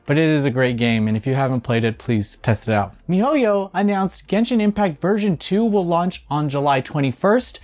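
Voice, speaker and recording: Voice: mid-range voice, darkish tone. A video game news host giving casual, opinionated commentary in an informal, blunt way, with some swearing.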